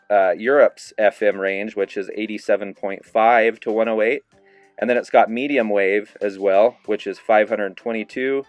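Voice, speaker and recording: A man with a low, smooth voice gives a casual, easygoing radio review. The sound is dry and close, with faint music underneath. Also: no room sound. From Idaho. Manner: relaxed, easygoing with fillers, demonstrating a device.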